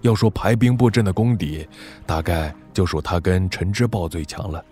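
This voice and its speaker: A shrewd military strategist in a wuxia audio drama. His voice is on the low side, with music faintly behind it. He weighs things up in a measured, wry, analytical manner. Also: dark tone, breathy edge. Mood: reflective, appraising.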